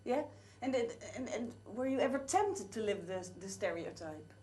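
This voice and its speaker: A woman with a Dutch accent interviewing a guest, starting her questions haltingly and repeating words. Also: fairly high pitch, expressive, warm tone, a little hiss or hum. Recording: roomy, distant mic.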